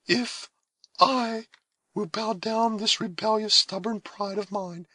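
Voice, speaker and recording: A man's voice: animated, slow, sharp tone, husky, no echo, exaggerated voice. A man voicing a proud, solemn young woman pleading in anguish in a read-aloud story. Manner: high, impassioned, pleading.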